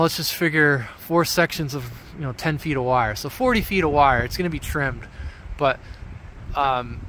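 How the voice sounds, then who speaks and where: A man giving a casual, off-the-cuff how-to explanation outdoors in an informal, rambling, halting way. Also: on the high side. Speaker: hobby radio vlogger. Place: outdoors among trees. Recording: outdoor handheld video with background noise.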